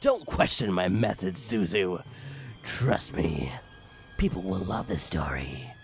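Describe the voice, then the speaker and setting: A man narrating a comic horror story in a theatrical, mock-ominous manner with drawn-out phrases and a raspy voice. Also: low voice, very animated, soft background music. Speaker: creepypasta reader.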